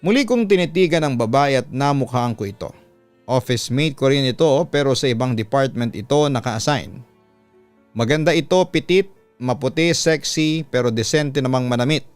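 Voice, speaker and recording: A man with a Filipino accent reading a listener's letter as a radio-style storyteller, smooth and resonant, in a measured, deliberate way. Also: mid-range voice, no echo. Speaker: radio announcer and story channel host. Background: background music.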